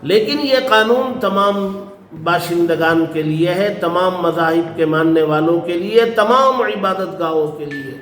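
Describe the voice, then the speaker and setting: Male cleric, notably high pitch, formal and earnest with halting phrases, faint noise behind. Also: fairly bright tone. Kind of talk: public statement to media. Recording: roomy echo, faint background noise.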